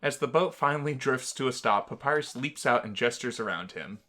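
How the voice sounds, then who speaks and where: A woman's voice: extremely low pitch, animated, a little fast, a bit bright. A woman hosting a game playthrough, mixing casual chat with read-aloud narration. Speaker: gaming channel host.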